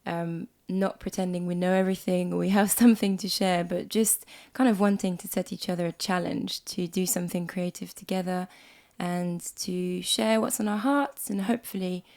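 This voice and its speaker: A woman in her twenties from Switzerland with a slight Swiss accent, co-hosting a casual podcast chat at home, a little croaky from a cold and quick to laugh. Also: fairly low pitch, fairly even intonation. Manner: chatty, warm, laughs often. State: hoarse from a cold. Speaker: podcast co-host. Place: small music room at home.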